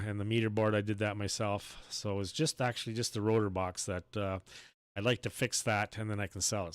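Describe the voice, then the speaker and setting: A man's voice: on the low side, clean tone, no echo. A man chatting casually on amateur radio, rambling and searching for words as he goes. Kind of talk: casual on-air radio chat.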